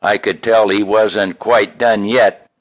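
A man reading his own poems in an expressive, folksy, homespun way, with casual introductions between them. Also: fairly low pitch, slow, dry recording. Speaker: poet reading own work.

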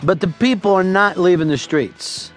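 A man hosting a radio talk show, taking callers and telling absurd tall tales with a deadpan, profane delivery. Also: high voice, normal speed, soft background music.

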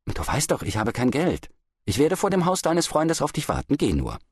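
A stubborn storybook peasant, played by a male reader, surly and proud. His gruff, dismissive words move over a very wide pitch range, recorded dry. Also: huge pitch swings, moderate pace, dry recording, full character voice. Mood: resigned.

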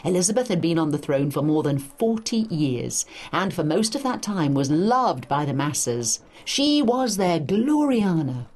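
Woman, lively wide-ranging pitch, slightly low husky voice, emphatic storytelling, scripted educational narration. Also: measured pace, hardly any pauses. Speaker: narrator of an audio study guide.

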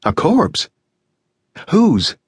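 A man voicing a guarded gentleman who keeps a forced, amused politeness in an audiobook. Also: on the high side, huge pitch swings, extremely slow, breathy edge, no room sound, clean studio sound, voice pushed up, full character voice. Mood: forced cheer. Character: guarded polite Englishman, forcing cheer. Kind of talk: audiobook reading.

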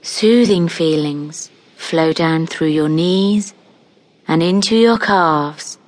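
Female guided relaxation narrator: lowish voice, very slow delivery, calm and soothing, hypnotic scripted read with roomy pauses between phrases.

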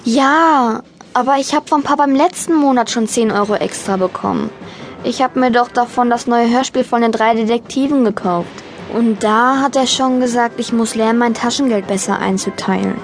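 A woman's voice: fairly high pitch, moderate pace, natural voice. A woman playing an eager, candid young schoolboy in a children's audio drama. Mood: hesitant.

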